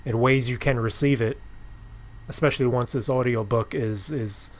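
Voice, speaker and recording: A man hosting a podcast, chatting casually and haltingly as he rephrases his way through questions and remarks. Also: mid-range voice, fairly even intonation. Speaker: podcast host interviewing a writer. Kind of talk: casual conversational interview.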